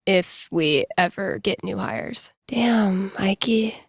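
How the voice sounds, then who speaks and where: A woman's voice: mid-range voice, takes it slowly, vocal fry, slightly rough, no echo, clean studio sound, full character voice. An actress playing a wry, slangy young woman who DJs and runs tabletop games, in a video game. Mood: wry, casual. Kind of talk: video game character inner monologue.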